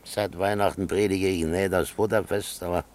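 An actor playing a grumpy, weary, stubborn old man in a German radio play, gravelly and curt, muttering his grumbles. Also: low voice, fairly even intonation, normal speed, darkish tone, close to an everyday voice. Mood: gruff, dismissive. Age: older.

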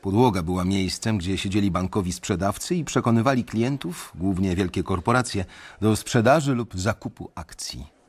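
A young man narrating a radio drama in the first person, measured and brooding. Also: fairly low pitch, slightly rough. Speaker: narrator and main character.

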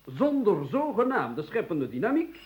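A man acting a dry, deadpan researcher in an old radio play. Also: high-pitched, a little slow, slight background noise, heavily acted. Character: dry-witted researcher man named Kwartel.

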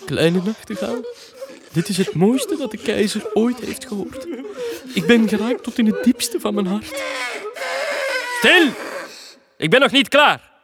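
An actor playing a vain, childish, pompous emperor with a Flemish accent in a comic children's radio drama. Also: male voice, high voice, sing-song, a little slow, pauses often, heavily acted. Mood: moved, imperious. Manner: pompous, dithering, theatrical.

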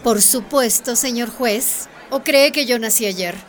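A proud, self-assured woman mayor, played by an actress in a radio drama. Her voice is fairly high, assertive and formal, with faint ambient noise behind it. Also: unhurried, close to an everyday voice. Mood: defiant.

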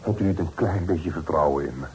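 An actor playing an earnest, secretive grown son, formal and composed, in a period radio drama. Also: male voice, extremely low pitch, a little fast, darkish tone. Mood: earnest, pleading. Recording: noisy old radio play recording.

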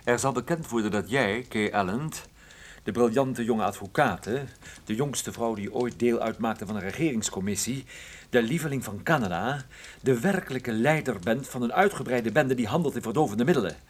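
An actor playing a wry, unflinching private detective in radio play dialogue, with a dry, sardonic manner. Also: male voice, mid-range voice, moderate pace, natural voice. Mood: accusing, sardonic.

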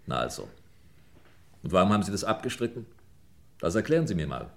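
A male police inspector in an old radio crime play, with a lowish, lively-moving voice. He interrogates impatiently, curt and sardonic, pressing for answers. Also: fairly quick, full character voice. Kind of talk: 1950s-70s German radio crime play acting.

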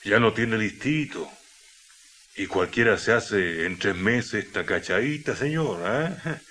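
A man's voice: on the low side, animated, slow, pushed and bright, slight background noise, full character voice. An actor playing a good-natured, burly Chilean miner who teases his workmate in folksy, colloquial speech in a radio drama. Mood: teasing.

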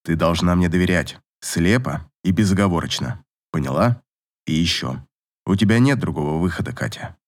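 The domineering man in a late-night romance audiobook, as a male narrator acts him, pinning his beloved down with low, insistent, authoritative orders. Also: expressive, normal speed. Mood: low, commanding. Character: possessive, domineering male lover, commanding and evasive.

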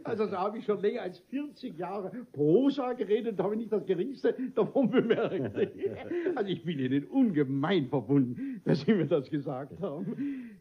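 An actor in a classic comedy radio play voicing a vain, gullible middle-aged bourgeois who stammers, repeats himself and blusters. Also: male voice, high-pitched, big pitch swings, moderate pace, hardly any pauses, husky, dull audio, exaggerated voice. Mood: amazed, delighted.

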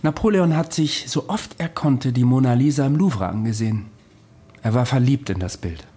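Husky, deadpan immortal man played by an actor. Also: mid-range voice, close to an everyday voice. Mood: wry, rueful. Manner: dry, deadpan, deliberate. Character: weary, matter-of-fact immortal man recalling his past. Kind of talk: German radio drama dialogue.

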